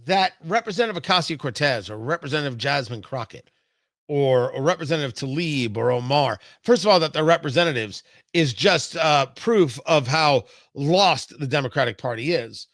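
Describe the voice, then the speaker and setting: American male political host, high and punchy, emphatic monologue style with theatrical pauses, very dry studio-like sound. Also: lively intonation, a bit bright, no room sound. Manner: emphatic, repeats phrases for effect. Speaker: political talk show host. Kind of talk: opinionated political commentary monologue.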